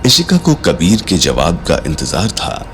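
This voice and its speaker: Male narrator, audio drama. Very deep, animated, suspenseful delivery over soft music. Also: measured pace. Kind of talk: dramatic scripted story narration. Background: background music.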